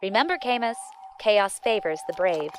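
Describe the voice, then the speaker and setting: An actress playing a shrewd pirate adviser who talks in a dry, wry, unhurried way. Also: female voice, medium pitch, expressive, noisy background, natural voice.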